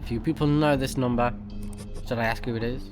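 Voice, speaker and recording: Male gaming YouTuber: mid-range voice, casual and blunt reactions, game music clearly underneath. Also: medium pitch, fairly even intonation, fairly quick. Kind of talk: casual let's play commentary, reading and reacting. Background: loud game music.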